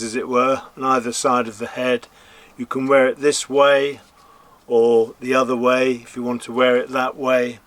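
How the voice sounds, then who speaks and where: A man giving an informal gear review, explaining things in a measured, careful way. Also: mid-range voice, fairly bright tone. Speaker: presenter reviewing and testing gear. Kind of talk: informal product review commentary.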